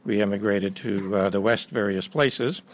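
A middle-aged man, born in Ukraine and raised in Canada, giving a conference lecture in a halting way, with many filler pauses and restarts. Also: on the low side. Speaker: invited speaker.

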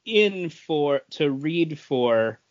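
Higher-pitched man hosting trivia, unhurried. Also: expressive, dull audio. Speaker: podcast quiz host. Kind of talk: reading trivia questions, casual banter.

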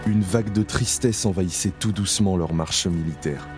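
A man with a very low, grave voice narrates in the first person, slow and solemn. Each phrase is measured and heavy. Clear music plays beneath him. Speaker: narrator playing Isaac, camp prisoner. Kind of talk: audio drama narration. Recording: clear music bed under voice.

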